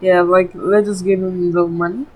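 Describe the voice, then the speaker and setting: A woman's voice: lowish voice, little pitch movement, moderate pace, background noise. A woman giving casual, informal gameplay commentary as a video host, with frequent filler words. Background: game music.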